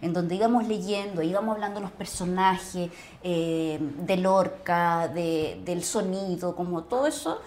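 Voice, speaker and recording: Casting: Chilean woman in middle age, actress guest, conversational and effusive with halting phrasing, some noise behind her. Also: fairly low pitch, a little mellow. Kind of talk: casual conversation, reflecting on acting work.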